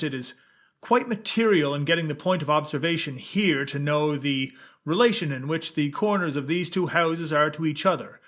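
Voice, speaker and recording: A man's voice: fairly high pitch, fairly bright tone, lightly acted. A man playing a formal, persistent defense attorney in a dramatic reading of an 1890s trial, speaking precisely and insistently. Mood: polite insistence.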